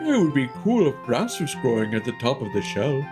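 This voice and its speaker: A man's voice: medium pitch, very animated, fairly quick, music in the background, natural voice. A man voicing a big, chatty, friendly video game townsperson with a sweet tooth and a simple, lilting delivery. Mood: chatty, wistful.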